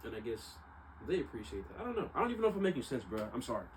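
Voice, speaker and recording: A man's voice: mid-range voice, very animated, rapid-fire. A man talking casually and unscripted, in a rambling, self-doubting way that circles back and repeats. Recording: clearly noisy ambient background.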